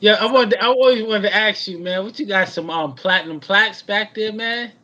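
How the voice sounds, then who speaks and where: An easygoing man in his forties talks with a high, bright voice over a dull, lo-fi video call. He drifts through his thoughts and keeps adding "you know what I mean" to them. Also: fairly quick. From Queens, New York. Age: 40s. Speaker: guest. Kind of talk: casual chat.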